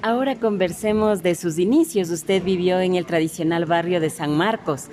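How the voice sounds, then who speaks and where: A woman host with an Ecuadorian accent and a fairly low, mellow voice. She puts formal, prepared questions to her guest as an interviewer. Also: moderate pace.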